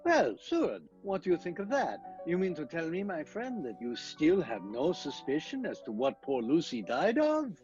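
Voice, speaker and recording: Man acting a grave, animated vampire-hunting doctor. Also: a little high, normal speed, few pauses, muffled recording, over music. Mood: probing, insistent. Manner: emphatic, dramatic, probing. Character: grave, urgent older male doctor and vampire hunter. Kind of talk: radio play acting.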